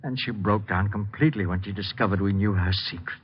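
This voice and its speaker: An actor playing a polite, nervous husband in a vintage radio drama, halting and formal in his talk. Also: lowish voice, slightly rough. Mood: uneasy, explaining. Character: polite, uneasy wealthy husband, hesitant and evasive. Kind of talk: 1940s-50s radio detective drama acting. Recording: dull vintage radio recording.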